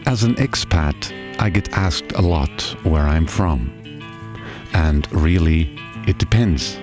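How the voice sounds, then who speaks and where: Low-voiced guy doing slow thoughtful voice-over, guitar strumming behind him. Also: lively intonation. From Austria. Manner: slow, measured, musing questions. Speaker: travel vlogger narrator. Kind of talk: reflective scripted voice-over narration. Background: guitar music.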